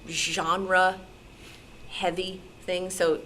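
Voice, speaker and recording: A woman's voice: on the low side, level tone, extremely slow, sharp tone. An American woman speaking informally and enthusiastically at a club meeting, answering questions as a radio programmer. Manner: enthusiastic, conversational. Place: club meeting, live room. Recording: noisy live meeting-room recording.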